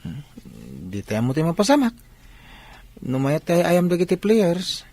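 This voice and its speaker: A man's voice: on the high side, sing-song, measured pace, dark tone. A man playing an earnest, modest young village athlete in an Ilocano radio drama. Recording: noisy background ambience.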